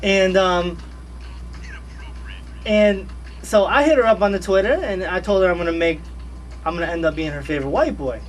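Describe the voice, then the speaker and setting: Brief: high-pitched man, radio guest, slangy and repetitive, a little off-mic. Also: normal speed, long pauses, far from the mic, soft background music, rough recording. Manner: laid-back, animated, slangy, repeats phrases. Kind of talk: casual radio interview chat. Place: radio studio.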